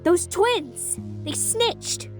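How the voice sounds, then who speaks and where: A woman voicing an impulsive, curious young woman who gets excited and bursts out easily. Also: very high voice, faint music behind, voice pushed up, exaggerated voice. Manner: excitable, quick to outbursts.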